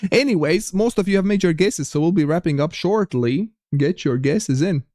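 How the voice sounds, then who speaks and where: A man's voice: high-pitched, animated, normal speed, darkish tone, no echo, clean studio sound. A young man with a light Latvian accent, streaming a geography game and chatting casually with viewers while reading the chat aloud. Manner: chatty, reads chat aloud, frequent restarts.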